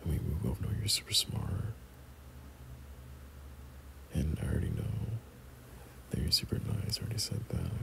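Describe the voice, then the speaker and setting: A young man playing an affectionate boyfriend in intimate ASMR roleplay, breathy and half-whispering, speaking hesitantly. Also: very deep voice, huge pitch swings, exaggerated voice. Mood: sincere, loving. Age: young adult. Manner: slow, hesitant, soft chuckles between phrases. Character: tender, affectionate young boyfriend talking to his partner. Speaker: audio roleplay performer.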